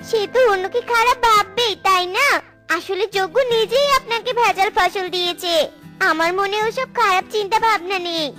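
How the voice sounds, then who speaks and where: A woman voicing an honest, hardworking mother tailorbird in a cartoon, fierce and pleading. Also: extremely high pitch.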